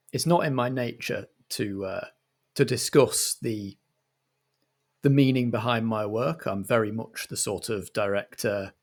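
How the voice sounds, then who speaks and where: A man playing a pompous, self-important television writer-director who speaks deliberately with weighty pauses, as in a mockumentary interview. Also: mid-range voice, husky. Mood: self-important, halting.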